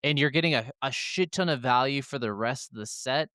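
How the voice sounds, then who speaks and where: Male co-host, average pitch, crisp clean voice, dry recording, irreverent sweary gamer chat. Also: a little fast, bright, forceful tone, no room sound. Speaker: gaming podcast co-host. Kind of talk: casual opinionated podcast chat.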